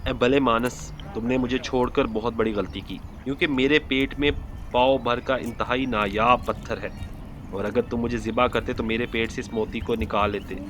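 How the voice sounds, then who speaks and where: A man voicing a small, wise female sparrow in a storybook moral tale, admonishing and deliberate as she gives advice. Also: medium pitch, steady tone, a bit bright, a little hiss or hum, close to an everyday voice. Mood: scolding.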